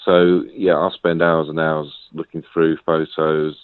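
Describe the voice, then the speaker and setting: A man with a very low, deep voice answers interview questions over a tinny phone line, hesitant and full of ums and repeated words. The sound is dry and narrow, squeezed down to a phone's thin band. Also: level tone, measured pace, clean tone, dry recording. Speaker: author and researcher being interviewed.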